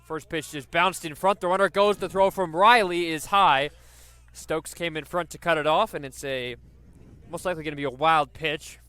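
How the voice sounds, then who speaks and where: A man's voice: on the high side, steady tone, moderate pace, sharp tone, clean tone. A man doing live radio baseball play-by-play, describing the action and working in stats as he goes. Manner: descriptive, stat-laden delivery.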